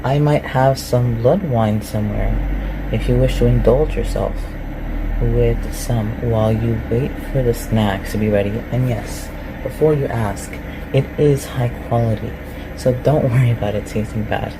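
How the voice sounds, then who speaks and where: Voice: mid-range voice, moderate pace, background noise, natural voice. A performer in an ASMR roleplay voicing an ancient, reclusive shapeshifter, haughty and awkward, with formal, archaic phrasing. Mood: hospitable, wistful. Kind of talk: ASMR roleplay, scripted one-sided dialogue.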